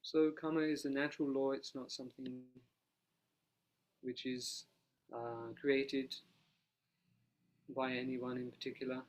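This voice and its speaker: A quiet, soft-spoken man guides a meditation as a monastic teacher. His delivery is even and nearly flat in pitch, calm and unhurried, and he talks without a dedicated microphone. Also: a little hiss or hum, rough recording.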